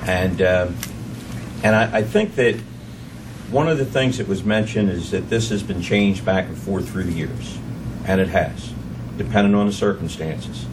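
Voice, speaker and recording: A man speaking at a council meeting in formal remarks, halting with many fillers as he builds his case. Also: low-pitched. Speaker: council member. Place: council chamber. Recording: distant mic, noisy room hum.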